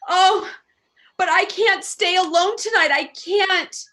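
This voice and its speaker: A woman's voice: very high voice, slow pace, sharp tone, heavily acted. A woman playing a nervous, demanding, self-pitying invalid wife alone at night in a suspense radio play. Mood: distraught.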